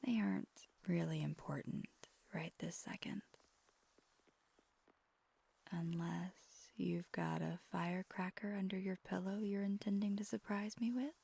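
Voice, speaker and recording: Breathy, husky vampire girlfriend acted by a woman. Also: medium pitch, fairly even intonation. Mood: soft, confiding. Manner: slow, gentle, intimate. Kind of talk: ASMR roleplay, soft whispered pillow talk.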